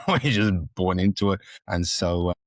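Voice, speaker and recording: A man's voice: sits low, moderate pace, dry recording, clean studio sound. A man in his fifties from Moldova with an Australian accent, a guest chatting casually, rambling and joking with many restarts. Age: 50s. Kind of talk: casual interview chat.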